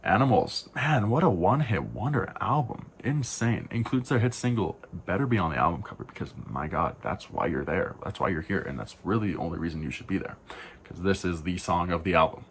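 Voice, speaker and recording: A young man with a very low, deep voice runs through album after album in a loose, talky way, frank and a little rambling, often stopping to correct himself. His tone has a gravelly, fry-heavy edge, and faint background noise sits under the recording. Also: animated, brisk. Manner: rambling, frank, self-correcting with sighs and asides. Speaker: album reviewer. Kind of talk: casual spoken album rundown.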